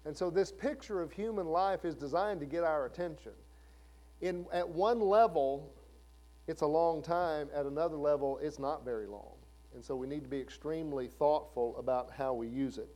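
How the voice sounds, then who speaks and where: A man's voice: fairly high pitch, moderate pace, a little mellow. A man in his fifties preaching a sermon in a conversational way, asking the listeners questions. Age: 50s. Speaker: pastor.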